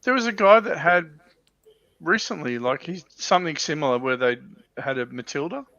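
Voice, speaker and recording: A man's voice: on the high side, lively intonation, normal speed, bright, forceful tone, no echo. Australian man chatting, slightly dull audio. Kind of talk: casual chat, storytelling. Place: livestream video call.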